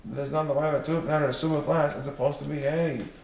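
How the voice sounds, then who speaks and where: A man's voice: on the high side, fairly even intonation, a little fast, vocal fry, a little mellow. A man voicing a polite, cautious and slightly puzzled car salesman in a children's storybook. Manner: courteous. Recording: roomy, distant mic, faint noise.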